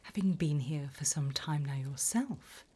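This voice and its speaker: A sly female demon, played by a woman, conducts an interview in an ASMR roleplay. The voice is almost all breath, a soft low whisper. Each phrase comes slowly and deliberately, with polite, teasing menace. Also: expressive, slightly rough, exaggerated voice. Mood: silky, probing.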